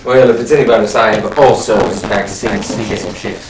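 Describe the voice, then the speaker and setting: A man's voice: lowish voice, fairly even intonation, moderate pace. A jokey, sweary man bantering casually as a player at a tabletop role-playing game. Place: echoey room, live-streamed game session. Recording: roomy, distant mic, noisy background.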